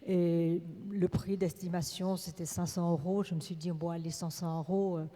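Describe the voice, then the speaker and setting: A woman from Vietnam, a writer speaking on a panel, halting and searching for words with many restarts. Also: fairly low pitch, flat delivery, warm tone. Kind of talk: panel discussion. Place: large theatre on stage. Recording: long theatre reverb.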